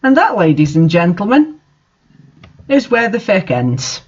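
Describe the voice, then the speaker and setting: Man, high voice, incredulous storyteller, exasperated asides, muffled lo-fi recording. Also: huge pitch swings, measured pace, slightly rough. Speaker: reader and host of a bad fanfiction reading. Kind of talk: dramatic storybook reading with mocking asides.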